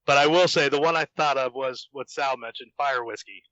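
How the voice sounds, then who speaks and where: Man, bright forceful voice, playful mock-serious banter, dry but muffled recording. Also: mid-range voice, fairly quick, dry recording. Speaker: podcast guest, fan fiction author. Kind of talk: casual group chat.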